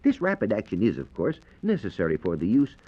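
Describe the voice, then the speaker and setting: American man, 1940s radio science explainer, precise, didactic, animated intonation, muffled vintage recording. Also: on the low side, brisk. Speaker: science reporter.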